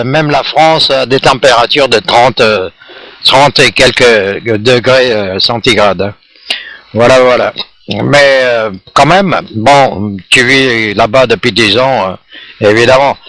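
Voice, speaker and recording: A man's voice: medium pitch, sharp tone, no room sound. Chatty man with a dry, close sound. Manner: friendly, chatty. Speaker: amateur radio operator. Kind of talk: casual radio contact chat.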